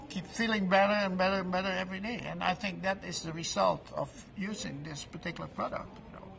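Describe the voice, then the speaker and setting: An older man in his late seventies, his voice on the high side for a man, with a gravelly edge and a bright, forceful tone. He speaks haltingly, breaking off and restarting words and filling pauses with "uh" and "you know." This is an informal testimonial recorded at a trade event. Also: rough recording. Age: 70s. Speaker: product promoter at an event booth.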